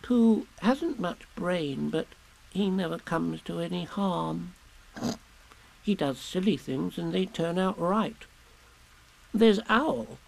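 A man's voice: high voice, animated, slow, creaky, pitched above the performer's own voice, exaggerated voice, low-quality recording. A man voicing a very small, anxious young piglet in a children's storybook, musing in a fretful, wistful, hesitant way. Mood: worried musing.

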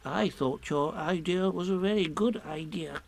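Timid, high-voiced little piglet. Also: male voice, a little slow, gravelly. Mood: meek reassurance. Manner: nervous, quiet, meek. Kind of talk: storybook reading.

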